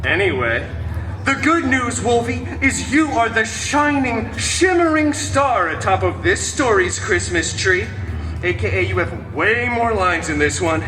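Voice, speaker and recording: A man's voice: high-pitched, sing-song, measured pace, pushed and bright, slight background noise, heavily acted. A man playing a snarky masked antihero who hosts a live storytime show, joking sarcastically at the audience. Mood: teasing. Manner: sarcastic, theatrical, fourth-wall jokes. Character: wisecracking masked male antihero, snarky and hammy. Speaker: live stage show performer. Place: outdoor theme park stage. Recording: distant mic, roomy, music behind.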